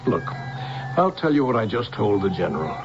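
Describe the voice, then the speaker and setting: Low-voiced troubled doctor, old radio. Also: male voice, sing-song, brisk, highs cut off. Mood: hesitant, evasive. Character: troubled, protective doctor hiding a secret. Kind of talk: old radio drama acting. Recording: band-limited vintage radio recording with music.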